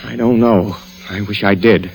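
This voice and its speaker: A guilt-ridden, frightened husband in a 1940s radio thriller. His voice is deep and his manner troubled and confessional. The vintage recording carries some faint music. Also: steady tone, measured pace, vocal fry, rough recording. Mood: despairing.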